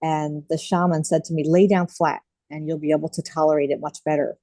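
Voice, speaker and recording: A woman leads an online group share from home, talking casually and filling pauses with ums and you-knows. Her voice sits low and mellow. It comes through a video call and sounds dry. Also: fairly even intonation, no echo. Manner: warm, chatty, frequent fillers. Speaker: group host.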